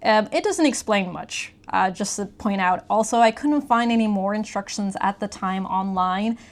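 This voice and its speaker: A woman's voice: medium pitch, moderate pace. Casual woman walking through a gadget. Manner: explains step by step, conversational. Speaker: product reviewer. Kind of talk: casual hands-on gadget review chat.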